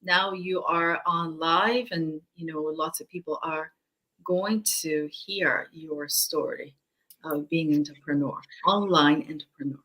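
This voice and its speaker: A woman hosts a relaxed online interview with a bright, clear tone. She speaks upbeat, asking questions with easy fillers. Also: low-pitched, measured pace, low-quality recording. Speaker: host. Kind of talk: casual interview hosting.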